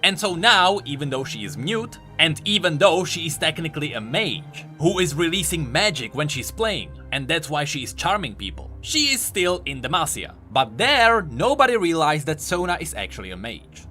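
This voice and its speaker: Animated, bright-voiced man explaining game lore. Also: on the high side, moderate pace, hardly any pauses. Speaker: host of a game lore video. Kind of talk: scripted explainer narration with commentary. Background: music.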